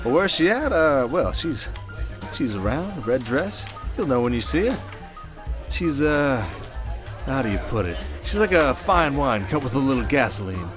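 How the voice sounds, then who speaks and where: Slick male conman, played by an actor, medium pitch, suave, boastful, flirtatious gangster talk, audio roleplay with music underneath. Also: big pitch swings, normal speed, close to an everyday voice. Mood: boastful, amused. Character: smooth, showy male conman posing as high-rolling heir. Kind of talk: scripted audio roleplay acting.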